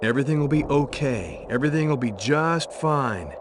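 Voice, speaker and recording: An actor playing a wry, terse and determined investigator hero in a video game. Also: male voice, mid-range voice, lively intonation, moderate pace, noisy background, close to an everyday voice. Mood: urgent.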